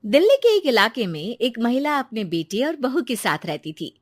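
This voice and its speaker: A woman's voice: on the high side, sing-song, normal speed, no echo, studio quality. A woman reading a scripted story as its narrator.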